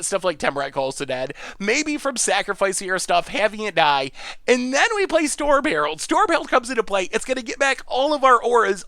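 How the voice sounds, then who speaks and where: A man from upstate New York, hosting a live game stream and chatting with viewers in an excitable, laughing way. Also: very high-pitched, no room sound. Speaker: streamer host.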